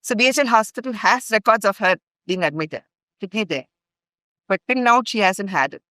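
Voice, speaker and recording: Woman, Indian English accent, middle-aged, earnest storyteller, strings events together with fillers, mid pitch. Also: expressive, moderate pace, pushed and bright, no room sound. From India (grew up in Bhopal). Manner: earnest, recounts events in long chains with fillers. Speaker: guest, longtime ashram volunteer. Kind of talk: podcast storytelling.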